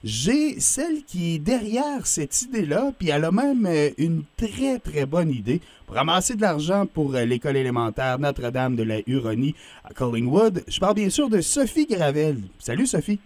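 A man with a Canadian French accent and a slightly high voice hosts an informal interview. His pitch moves up and down in a very animated way, and he keeps the tone upbeat and conversational. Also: moderate pace, no echo. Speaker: radio host and interviewer.

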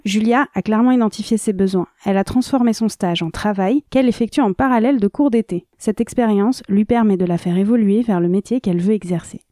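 A woman reporter reading scripted news narration in a measured, composed way. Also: mid-range voice, dark tone, no echo, clean studio sound.

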